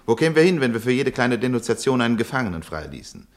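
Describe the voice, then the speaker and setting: A man's voice: medium pitch, sing-song, fast talker. An actor playing a cold, falsely polite German officer in a radio play who curtly dismisses a woman. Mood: dismissive. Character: cold, smooth German occupation officer, middle-aged. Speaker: officer taking a denunciation.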